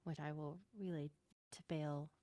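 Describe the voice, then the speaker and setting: A woman's voice: deep voice, fairly even intonation, moderate pace, natural voice. A woman playing a discreet court member in an improvised game roleplay, reporting in a guarded, tentative way over a noisy microphone.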